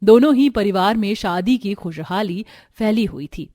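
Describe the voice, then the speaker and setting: A woman's voice: medium pitch, moderate pace, dark tone, dry recording, clean studio sound. A woman reading scripted narration for a cartoon family story.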